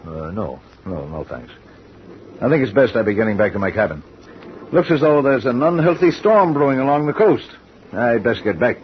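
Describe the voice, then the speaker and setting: An actor in 1940s radio drama playing a calm, matter-of-fact sea captain who reassures the other passengers. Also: male voice, mid-range voice, moderate pace, natural voice. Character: calm, practical middle-aged sea captain. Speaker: ship's captain. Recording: muffled old radio recording with faint hiss.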